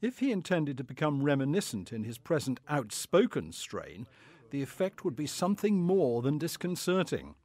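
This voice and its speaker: A man's voice: on the high side, very animated, moderate pace, darkish tone. A man narrating a scripted story for an audio play.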